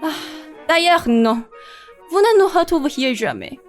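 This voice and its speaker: A woman's voice: sits high, very animated, measured pace, soft background music. A woman playing a capricious, imperious, bored rich old lady in a comic radio play, with theatrical, exclamatory delivery. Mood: fussy, commanding. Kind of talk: stage-comedy radio play acting in French.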